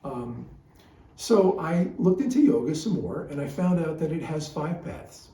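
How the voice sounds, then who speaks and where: A man's voice: on the high side, sing-song, unhurried, mellow. A man giving a reflective spiritual talk, explaining ideas in a thoughtful way and reading poems aloud. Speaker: psychotherapist reading his own poems and teaching. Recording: roomy, distant mic, faint noise.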